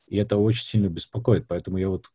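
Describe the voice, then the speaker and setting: Low dark-voiced man asking questions. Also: fairly even intonation, fast, breathy edge, no echo, studio quality. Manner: thoughtful, asks long searching questions. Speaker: interview host. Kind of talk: conversational interview.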